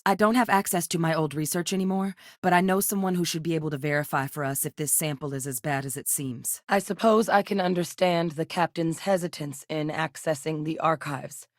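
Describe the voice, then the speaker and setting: A seasoned woman military scientist from a space sci-fi game, played by an actress. Her voice sits on the low side and is recorded dry and close. She speaks in measured, earnest lines with crisp authority. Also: no echo, close to an everyday voice. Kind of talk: scripted game character dialogue.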